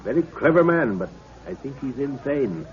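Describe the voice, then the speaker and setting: An actor playing a brisk, commanding middle-aged expedition leader and father in 1930s radio adventure style. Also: mid-range voice, sing-song, natural voice. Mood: brisk, pondering. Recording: dull, noisy 1930s radio recording.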